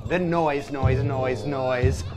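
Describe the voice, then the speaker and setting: A middle-aged man doing an animated, theatrical read-aloud of a children's story. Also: medium pitch, slow pace. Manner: expressive, theatrical. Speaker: storyteller, app sound designer. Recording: music behind the voice. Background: music and sound effects from a story app.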